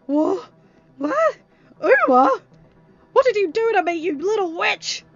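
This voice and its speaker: A woman voicing a smug, taunting young male villain in an audiobook-style story, with a sneering, deliberate manner. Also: fairly high pitch, darkish tone, muffled recording, soft background music, lightly acted. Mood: alarmed, angry.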